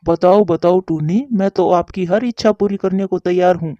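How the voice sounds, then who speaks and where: A woman's voice: fairly low pitch, fairly even intonation, moderate pace, mellow, no room sound, dull audio, natural voice. A woman voicing a caring, devoted male pigeon husband in a cartoon, with a concerned, reassuring manner. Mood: tender, reassuring. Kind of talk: Hindi cartoon voice acting.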